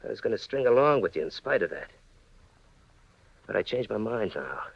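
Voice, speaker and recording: Casting: cold-blooded crook, slangy and menacing, gravelly edge, old radio crime drama, dull dry sound. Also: male voice, lowish voice, sing-song, moderate pace, no echo, exaggerated voice. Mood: angry, accusing. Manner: slangy tough talk, mock-tender threats. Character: menacing, cold-blooded male crook turning on his partner.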